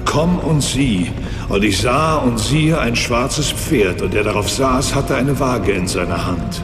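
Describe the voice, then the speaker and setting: An actor playing a solemn, portentous reader of apocalyptic scripture in a dramatic documentary. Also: male voice, mid-range voice, lively intonation, slow. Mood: solemn, ominous. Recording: long cavernous reverb with music behind.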